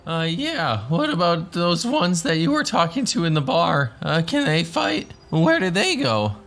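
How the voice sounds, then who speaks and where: Man doing a high-pitched teenage halfling. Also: sing-song, measured pace, a bit bright, full character voice. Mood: curious. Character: young teenaged halfling boy, blunt and curious.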